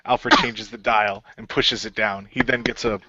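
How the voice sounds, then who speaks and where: A man's voice: on the low side, steady tone, moderate pace, highs cut off, noisy background. A man playing casually in an improvised radio play, switching between his character and narration.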